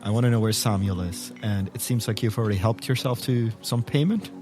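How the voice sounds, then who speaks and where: A man's voice: fairly low pitch, fairly quick, music in the background, lightly acted. A man playing a polite, persistent investigator with a hesitant, dry manner in an improvised tabletop game. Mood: insistent, dry. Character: polite, persistent male investigator named Dolan.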